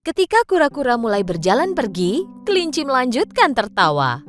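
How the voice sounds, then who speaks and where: High, lively woman storyteller. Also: normal speed. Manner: expressive, sing-song storytelling. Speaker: narrator. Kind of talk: scripted storybook narration for children. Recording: faint music behind. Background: faint background music.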